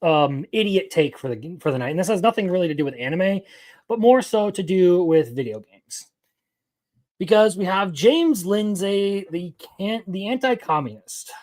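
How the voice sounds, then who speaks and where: A man's voice: sits high, a little mellow. A man in his twenties giving casual live-stream commentary as an anime news host, opinionated and rambling, answering his chat as he goes. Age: 20s.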